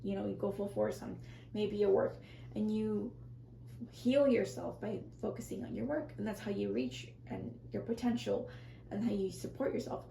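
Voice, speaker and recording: A woman with a scratchy, strained voice reading tarot in a casual, rambling way, often correcting herself and speaking softly to viewers. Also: mid-range voice, normal speed, gravelly, echoey room. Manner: rambling, self-correcting, soft and reassuring. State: scratchy, strained voice, clearing her throat. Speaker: tarot reader.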